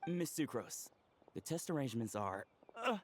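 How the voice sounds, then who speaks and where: An actor playing a timid, polite young trainee medic in a video game, speaking hesitantly and deferentially. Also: male voice, mid-range voice, very animated, moderate pace. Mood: nervous, polite.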